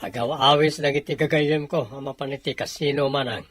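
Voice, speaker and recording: A man's voice: fairly high pitch, steady tone, brisk, a bit bright, faint music behind. A man playing a spoiled, defiant younger sibling, argumentative and dismissive, in an audio drama.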